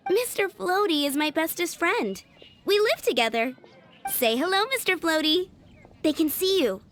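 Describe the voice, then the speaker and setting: A cheerful little girl from a video game. Her pitch is extremely high and lively, with a sweet, dreamy, earnest manner. Faint music plays behind her. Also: normal speed. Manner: childlike, giggly, earnest. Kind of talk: animated video game voice acting.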